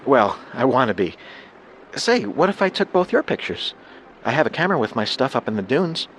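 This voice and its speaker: Casting: teenage would-be photographer, smooth and coaxing, very animated intonation, outdoor noise behind. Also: male voice, mid-range voice, normal speed, poor audio. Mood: coaxing, persuasive. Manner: flattering, casually persuasive. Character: smooth-talking teenage boy photographer luring girls at a beach. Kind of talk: dramatised true-crime reenactment.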